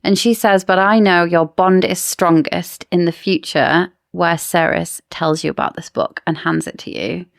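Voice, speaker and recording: A woman's voice: fairly low pitch, measured pace, gravelly, hardly any pauses, dry recording, studio quality. A woman co-hosting a podcast, casually discussing books in an explanatory, speculative way. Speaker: podcast co-host.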